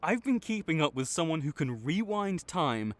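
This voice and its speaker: A man playing a proud supervillain in a dramatic audio roleplay, grudging and exasperated, with a secret soft spot that makes him flustered. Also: on the high side, sing-song, moderate pace. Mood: bickering, incredulous. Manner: tsundere, grudging, exasperated, stammering when sincere.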